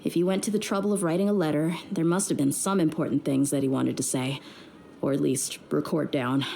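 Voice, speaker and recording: A woman's voice: sits low, fairly even intonation, fairly quick, gravelly, warm tone, a little hiss or hum. An actress playing a tough young woman mercenary in a video game, dry and weary with tenderness underneath. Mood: touched, composed. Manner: dry, weary, plain-spoken. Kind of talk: video game character dialogue.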